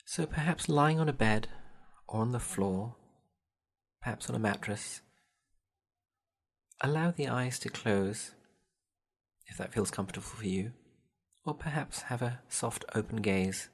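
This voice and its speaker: A man guiding a meditation in a gentle, soothing, measured way, leaving long pauses between instructions. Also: medium pitch, gravelly, breathy edge. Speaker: meditation guide. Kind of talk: guided body scan meditation.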